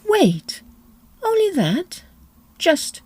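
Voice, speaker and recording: A woman's voice: very high-pitched, wildly swooping pitch, extremely slow, a little mellow, no echo, heavily acted. A woman playing a poised, sensible opera singer who also narrates a period comedy audio drama. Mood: poised, puzzled.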